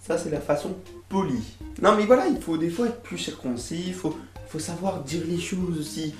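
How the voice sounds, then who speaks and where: A man's voice: a little high, moderate pace. A young man doing a casual, comic rant to camera as a YouTuber, exasperated and theatrical, with slangy swearing. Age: young adult. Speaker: YouTuber, host of the video. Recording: roomy echo, music underneath.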